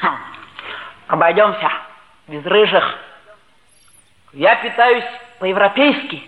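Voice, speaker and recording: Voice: medium pitch, animated, slow, phone-quality sound. An actor playing a boastful, gluttonous schoolboy who talks with comic pomposity in a 1960s radio play. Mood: boastful, breezy. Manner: pompous mock-scientific talk. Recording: echoey, band-limited vintage radio play recording.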